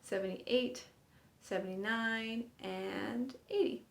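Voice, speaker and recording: A woman's voice: fairly high pitch, slow, gravelly. A woman in her fifties teaching a sign language lesson, counting aloud and encouraging viewers in an upbeat way. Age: 50s. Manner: upbeat, encouraging, laughs at own slips. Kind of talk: counting aloud while demonstrating signs. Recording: echoey room.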